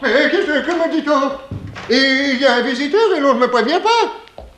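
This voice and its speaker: Fussy, high-voiced, doddering gentleman. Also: expressive, normal speed. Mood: flustered, indignant. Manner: stammering, flustered, mannered. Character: fussy, doddering, pompous gentleman lodger, senior resident. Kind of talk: 1960s French radio detective comedy acting.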